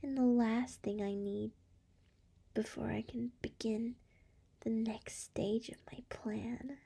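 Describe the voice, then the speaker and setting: A woman voicing a seductive, scheming succubus demon lord in an ASMR roleplay, breathy and soft, whispering with a teasing purr close to the microphone. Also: a little high, fairly even intonation, takes it slowly, heavily acted.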